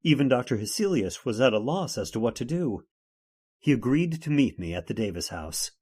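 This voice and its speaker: A man's voice: medium pitch, big pitch swings, brisk, a little mellow, slightly rough. A man acting as an earnest, dramatic hero and narrator of an old-time radio horror tale. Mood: somber narration. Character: earnest man recounting his supernatural ordeal.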